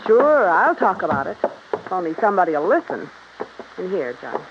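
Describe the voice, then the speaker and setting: A woman's voice: on the low side, sing-song, brisk. A woman playing a grieving, stubborn young ranch heiress in a 1950s radio drama, pointed and resigned in manner. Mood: strained, stubborn. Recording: muffled, hissy old radio recording.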